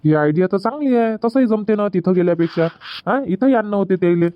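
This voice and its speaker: A man's voice: high voice, animated, fast talker, no echo, dull audio. A man voicing a young husband in a comedy cartoon, explaining plans at length with a Varhadi Marathi accent. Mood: planning. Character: young husband Suraj, easygoing son.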